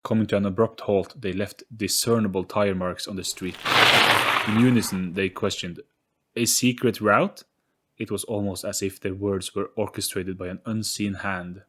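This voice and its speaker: A man's voice: low-pitched, flat delivery, normal speed, slightly rough. A man giving a scripted, dramatic narration of an audio story.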